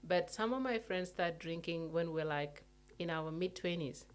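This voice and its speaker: An earnest young adult speaker, chatting casually at a middle pitch. They circle back to make their point again and laugh lightly now and then. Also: normal speed, smooth. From South Sudan. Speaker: guest in a conversation. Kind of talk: casual chat.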